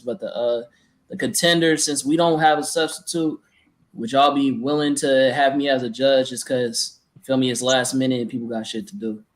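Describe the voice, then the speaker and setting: A man hosts a casual podcast over a video call. He talks in a loose, slangy way, checking in with his listeners as he goes. His pitch sits in the middle. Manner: laid-back slangy, lots of "you feel me". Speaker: podcast host.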